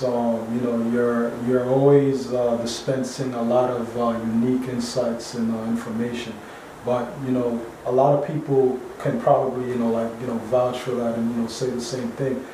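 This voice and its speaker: A man's voice: mid-range voice, little pitch movement, moderate pace, mellow. A man interviewing an author, asking long questions with many "uh" and "you know" fillers. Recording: echoey far-off room, faint noise.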